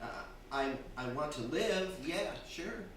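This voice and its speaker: A man's voice: fairly high pitch, expressive, takes it slowly, a bit bright. A man playing a timid, weak-willed husband in a radio drama, stammering and hesitant with nerves. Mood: frightened. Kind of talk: stage radio drama acting. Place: theatre stage before a live audience. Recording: very echoey hall, distant, faint noise.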